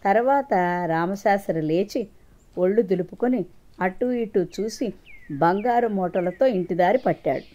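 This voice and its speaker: A female storyteller narrates a children's moral tale from a prepared script. Her voice sits at an average pitch for a woman, and she delivers the story as scripted narration. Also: a little slow, mellow.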